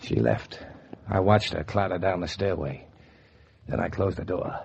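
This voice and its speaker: Male, greedy, excitable noir tough guy, low register, muffled vintage radio drama sound. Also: measured pace, creaky, full character voice. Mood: tense narration. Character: hard-boiled, greedy, excitable man with a lucky find. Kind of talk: 1940s radio noir acting and first-person narration. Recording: muffled, noisy vintage 1940s radio recording.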